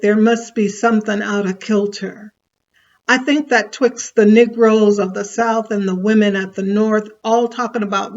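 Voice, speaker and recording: A woman's voice: medium pitch, a little mellow, highs cut off, lightly acted. Woman playing a defiant old woman orator. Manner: preacherly folksy address with repeated refrain. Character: older woman orator, bold, plainspoken, defiant.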